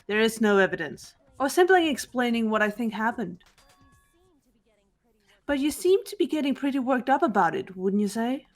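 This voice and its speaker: A woman voicing a cool, calm, sharp-witted young woman in a fan dub of a video game, speaking in a measured way. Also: a little high, soft background music.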